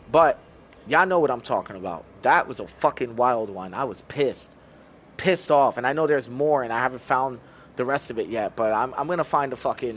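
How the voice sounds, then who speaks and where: A man's voice: medium pitch, slight background noise. Laid-back cursing streamer in his thirties. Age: 30s. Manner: loose and profane, talks to chat as bro. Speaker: game streamer. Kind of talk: casual live-stream chat.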